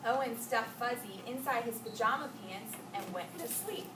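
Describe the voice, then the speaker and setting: A woman reading a storybook aloud to children in an expressive way, pausing to ask questions and echo their answers. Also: on the high side. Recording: echoey, noisy room, distant mic.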